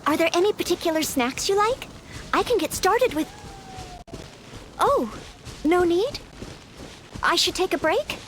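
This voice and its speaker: An actress playing a polite, eager young maid who dreams of knighthood in a video game, speaking with apologetic hesitance. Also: female voice, very high voice, animated, measured pace, long pauses, fairly bright tone, slight background noise, full character voice. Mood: surprised, obliging.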